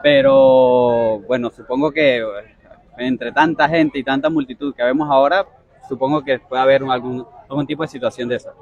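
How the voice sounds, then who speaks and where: A young man from Venezuela answering a reporter's questions outdoors at a busy dock, polite and hesitant, pausing and restarting often. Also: mid-range voice, gravelly, no echo, phone-quality sound. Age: young adult. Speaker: interviewee, migrant. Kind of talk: street interview answers. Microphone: reporter's handheld mic.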